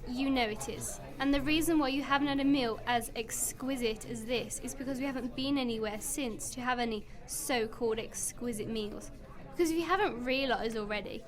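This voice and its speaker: A woman's voice: on the high side, normal speed, a crowd in the background, natural voice. A woman in an amateur radio play acting a suspicious, exasperated wife, accusing and sarcastic. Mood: angry, reproachful.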